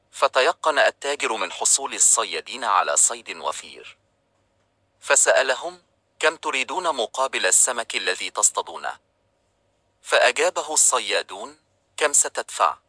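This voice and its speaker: A man narrating a children's storybook in a calm, even reading style. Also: mid-range voice, no room sound.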